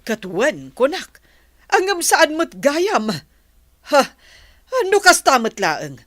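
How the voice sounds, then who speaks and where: A woman's voice: sits high, huge pitch swings, slow pace, fairly bright tone, no room sound, clean studio sound, exaggerated voice. A woman with an Ilocano accent playing a caring but scolding village mother in a radio drama.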